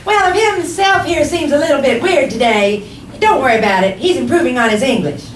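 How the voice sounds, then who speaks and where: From a distance on an echoey stage comes a very high-voiced housewife, played by a woman in an old radio comedy. She is wry and motherly, fondly scolding, with a lively swooping delivery. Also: brisk. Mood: brisk, helpful. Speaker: actor in a stage radio-play revival. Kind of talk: 1940s radio comedy acting. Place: live theater stage with audience. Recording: roomy far-off stage recording, faint audience, hiss.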